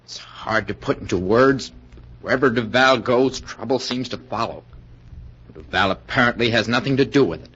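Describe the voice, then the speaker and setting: A man's voice: medium pitch, moderate pace, fairly bright tone, husky. An actor playing an earnest, suspicious suitor in a 1940s radio thriller, urgent and insistent in his delivery. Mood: wary, insistent. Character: suspicious, jealous, earnest male suitor warning of danger. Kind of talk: 1940s radio suspense acting. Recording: muffled 1940s radio recording.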